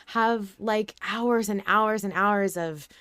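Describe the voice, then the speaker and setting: A woman's voice: medium pitch, takes it slowly, fairly bright tone, smooth, no echo, clean studio sound. A woman hosting a podcast in casual conversation, talking informally and emphatically with blunt interjections. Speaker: podcast host.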